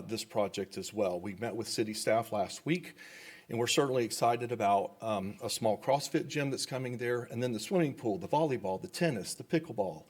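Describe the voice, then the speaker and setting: A man speaking as a developer at public comment in a government meeting, enthusiastic and rambling with repeated words. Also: mid-range voice.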